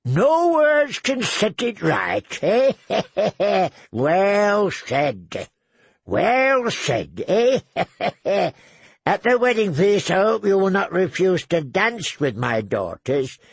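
A man voicing a sly, crude, cackling old male lord with a gravelly voice who tags phrases with "eh?". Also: high-pitched, huge pitch swings, very slow, no echo, voice pushed up, exaggerated voice. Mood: leering. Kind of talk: audiobook character voice.

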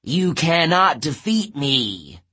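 A man voicing a huge, cruel male giant in a storybook, growling out slow, drawn-out taunts. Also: a little high, sing-song, bright, forceful tone, husky, pitched above the performer's own voice, full character voice. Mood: gloating menace.

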